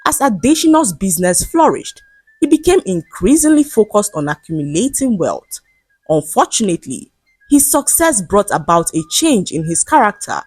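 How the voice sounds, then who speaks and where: A woman narrating a scripted folktale in a measured, dramatic storytelling manner. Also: medium pitch, slightly rough, dry recording. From Nigeria.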